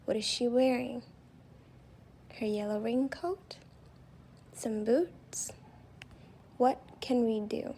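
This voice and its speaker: A woman who is not a native English speaker leads a guided meditation in a soft, breathy voice that slips toward a whisper. She speaks very slowly and gently, with a slightly high pitch. There is faint noise behind her. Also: steady tone. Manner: gentle, reassuring, unhurried. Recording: faint background noise, home recording.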